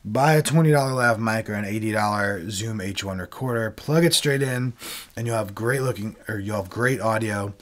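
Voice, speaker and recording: A man with a fairly low voice, roughened by a cold, talks casually into a desk mic. He walks through his process step by step, loose and conversational, like a friendly tutorial stream. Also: normal speed. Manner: relaxed, chatty, explains step by step. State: sick, voice lower than usual. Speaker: video creator and worship leader hosting a livestream. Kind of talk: casual how-to livestream talk. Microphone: desk microphone, webcam video.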